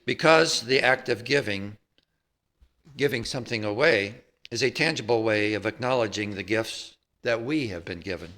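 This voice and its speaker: A man reading a prepared text at a church service, with measured, emphatic phrasing. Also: mid-range voice, fairly bright tone. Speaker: service reader.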